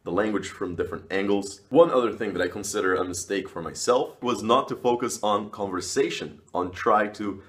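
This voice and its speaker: Low-voiced man giving advice. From Brazil. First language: Portuguese. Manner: earnest, measured, with frequent "uh" and "you know". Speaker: language vlogger. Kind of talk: talking-head video advice.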